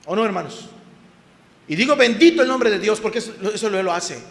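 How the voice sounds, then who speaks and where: High-voiced preaching man, echoey church. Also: lively intonation, a little fast, creaky. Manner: preaching, asks the congregation questions, voice rises in emphasis. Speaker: pastor. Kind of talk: sermon. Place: church hall. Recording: echoey church hall, faint ambience.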